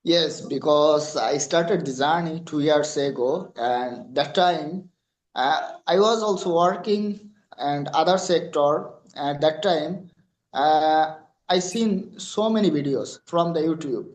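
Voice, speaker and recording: Bangladeshi man, Bengali native, guest answering questions; halting English, slow and deliberate, slightly high voice, echoey room. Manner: halting non-native English with many fillers. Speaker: freelancer guest. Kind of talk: interview answers.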